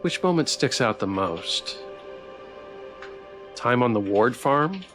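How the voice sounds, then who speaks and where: A man's voice: medium pitch, very animated, takes it slowly, a bit bright, faint music behind. A man streaming a visual novel, reading its text aloud with playful, theatrical commentary and joking asides.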